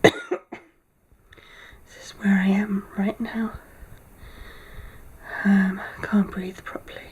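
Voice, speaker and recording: A tired woman recording a casual video diary, her voice thin and weak, talking haltingly with many pauses. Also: medium pitch, little pitch movement, lo-fi sound, slight background noise. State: tired, low on energy. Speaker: vlogger. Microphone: camera mic.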